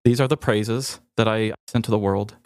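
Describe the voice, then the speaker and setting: A man's voice: lowish voice, fairly even intonation, moderate pace, darkish tone, clear, resonant tone, dry recording. A man hosting a podcast, telling candid, self-mocking stories in a casual comedic way on a close microphone. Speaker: podcast host.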